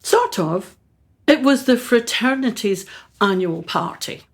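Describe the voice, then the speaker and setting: A woman's voice: on the high side, expressive, slow. A woman acts out a self-absorbed society mother for a radio play. She is imperious and brusque, speaking in short, clipped phrases with upper-class hauteur. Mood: haughty.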